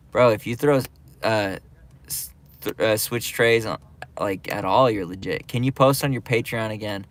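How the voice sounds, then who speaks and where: A man's voice: lowish voice, fairly bright tone. A man hosting his skateboarding channel's livestream, reading viewer comments aloud and chatting back in a laid-back, filler-heavy way. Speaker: YouTube skate channel host reading live chat. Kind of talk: casual livestream chat.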